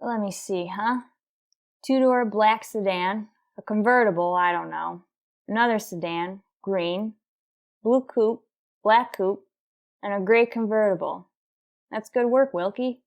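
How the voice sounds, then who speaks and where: An actress as a female police sergeant in a vintage-style radio crime serial. She has a medium-pitched voice and narrates procedure in clipped, matter-of-fact phrases, all business. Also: measured pace, natural voice. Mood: businesslike. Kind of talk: 1940s radio police drama acting, narration.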